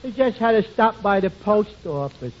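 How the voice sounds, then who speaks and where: In a faintly hissy old radio sitcom, a slow-witted, amiable barfly. The male voice is high and earnest, bobbing up and down with simple-minded sincerity. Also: expressive. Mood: earnest, dopey. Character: dim-witted, good-natured male barfly. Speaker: comedy supporting player. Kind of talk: 1940s radio sitcom acting. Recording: muffled, noisy 1940s radio broadcast.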